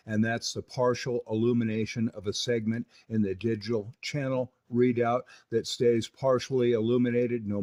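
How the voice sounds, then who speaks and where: A man's voice: on the low side, darkish tone. A man giving informal, conversational tech commentary as a radio repair technician, with wry, incredulous asides.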